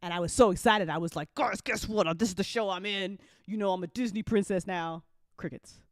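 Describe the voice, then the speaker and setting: A woman hosting a casual after-show chat, telling stories in an animated way with acted-out quotes and casual swearing. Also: mid-range voice, fairly quick, no room sound, clean studio sound. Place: online group voice call.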